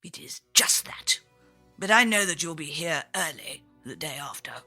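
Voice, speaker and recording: Storybook miser: woman plays grumpy old man, cold, curt, dismissive, forceful edge, music behind. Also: on the high side, lively intonation, normal speed, heavily acted. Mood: grudging.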